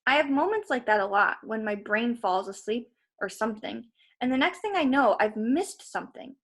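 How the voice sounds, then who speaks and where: Higher-voiced woman reading a story aloud. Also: a bit bright. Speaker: storybook reader. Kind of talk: read-aloud narration with casual asides to listeners.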